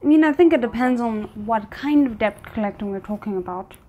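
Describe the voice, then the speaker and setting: A woman giving casual, off-the-cuff commentary on a show, exclaiming and often pausing mid-thought. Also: a little high, expressive, dark tone, dull audio. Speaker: reaction video host.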